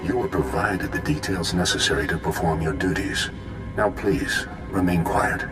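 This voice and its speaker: Man playing a deep, solemn Jedi Master. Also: natural voice. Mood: calm, authoritative. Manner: measured, formal, solemn. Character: stern, self-righteous male Jedi Master. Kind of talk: video game cutscene acting. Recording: echoey voice over game music.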